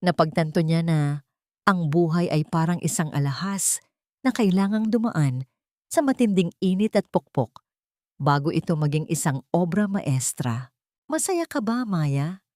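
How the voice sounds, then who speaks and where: A woman's voice: sits low, a little slow, warm tone, dry recording, clean studio sound. A woman storyteller giving a scripted, solemn and sentimental narration of a romance.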